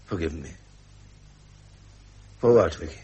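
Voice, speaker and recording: An actor playing a worldly, frustrated middle-aged royal heir in a 1970s British radio drama, with a husky British voice and formal, dryly ironic phrasing. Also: male voice, rumbling low, animated, measured pace, darkish tone, highs cut off, full character voice. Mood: bitter, resigned.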